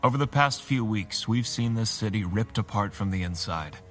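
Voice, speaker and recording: An actor playing a calm, commanding vigilante leader in an audio drama, speaking with measured authority. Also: male voice, on the low side, faint music behind. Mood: grave, resolute.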